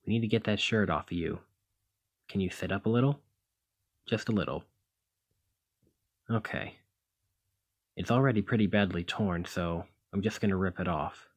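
Man acting out gruff demigod hero, very low voice, husky, bossy but caring, ASMR roleplay. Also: moderate pace, long pauses. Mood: firm, caring.

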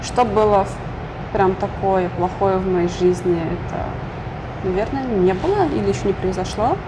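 A woman's voice: medium pitch, normal speed. A young woman from Uzbekistan, a guest in a casual interview, answering in an earnest, thoughtful way from a lounge. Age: young adult. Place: lounge with leather sofas and bookshelves, indoors. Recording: noisy room ambience.

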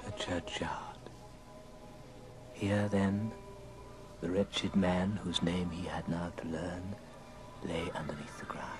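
A man's voice: sits low, flat delivery, takes it slowly, pauses often. A man narrating an old radio ghost drama as a grave storyteller, solemn and dramatic. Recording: old record with music underneath.

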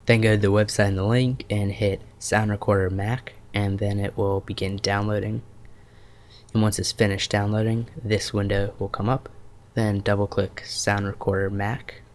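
A man's voice: on the low side, flat delivery, moderate pace, a little hiss or hum. A man narrating a step-by-step computer tutorial as its host.

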